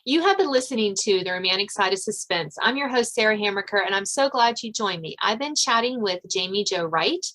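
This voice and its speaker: A woman's voice: medium pitch, animated, brisk, few pauses, fairly bright tone. A middle-aged woman, chatting casually as the host of an author interview.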